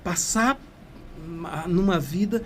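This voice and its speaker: A man's voice: sits high, slow pace, fairly bright tone, a little hiss or hum. A Brazilian man, a culture manager, telling an enthusiastic, rambling story as a guest in an interview. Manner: enthusiastic, rambling, often tags "né?". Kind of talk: storytelling conversation.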